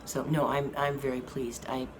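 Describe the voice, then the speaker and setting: A hoarse woman giving a slow, halting update to her viewers from a hospital room. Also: a bass voice, little pitch movement. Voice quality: slow, halting speech with many fillers. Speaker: vlogger giving a health update. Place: hospital rehab room with an air conditioning fan. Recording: noisy room with fan hum. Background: air conditioning fan.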